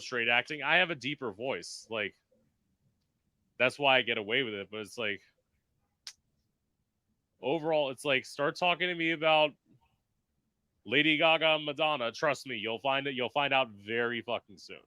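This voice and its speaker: A man's voice: mid-range voice, normal speed, long pauses, pushed and bright, dry recording, studio quality. A man hosting a podcast in casual chat, talking emphatically and filling his speech with "like". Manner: chatty, emphatic, full of "like" fillers; performs in drag. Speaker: podcast host.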